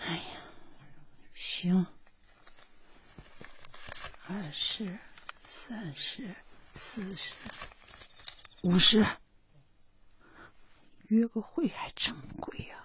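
A woman's voice: mid-range voice, extremely slow, pauses often, a bit bright, husky, lo-fi sound, exaggerated voice. An actress playing a thrifty, doting middle-aged mother in a radio drama, homely, fretful and coaxing. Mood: grudging.

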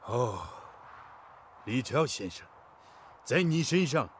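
An actor playing a genial, courteous Japanese visiting expert in a radio drama, speaking in a measured way. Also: male voice, mid-range voice, animated, slight background noise. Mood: admiring.

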